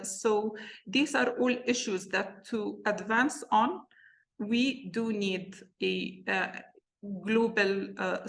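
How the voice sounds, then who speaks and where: Hesitant woman with a gravelly voice. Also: mid-range voice, a bit bright. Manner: hesitant, many fillers. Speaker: panelist. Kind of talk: roundtable policy discussion.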